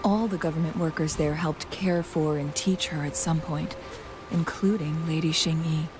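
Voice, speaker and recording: A woman voicing a musing young companion, low voice. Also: steady tone, normal speed, close to an everyday voice. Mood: reflective. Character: thoughtful young woman companion, earnest, curious. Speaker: game companion character. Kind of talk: scripted game dialogue. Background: game music.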